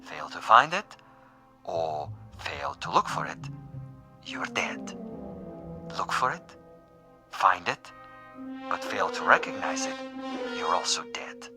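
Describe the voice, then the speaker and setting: Urbane sadistic killer, low-pitched, swooping intonation, precise and theatrical, radio suspense drama, faint music behind. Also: male voice, wildly swooping pitch, slow, vocal fry, long pauses, heavily acted. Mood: gloating menace. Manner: theatrical, formal, precise, relishing every phrase. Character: urbane sadistic assassin, a gleeful gamesman taunting his prey.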